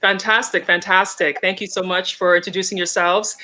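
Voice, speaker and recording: Bright, chatty woman host for a live-streamed interview show. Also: lowish voice, little pitch movement, normal speed, low-quality recording. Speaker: podcast host.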